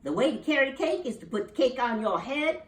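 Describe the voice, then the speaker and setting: A woman voicing an exasperated, scolding mother opossum in a storybook, with drawn-out, dramatic emphasis and repeated admonishments. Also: a little high, expressive, reverberant, far from the mic, pitched above the performer's own voice, close to an everyday voice.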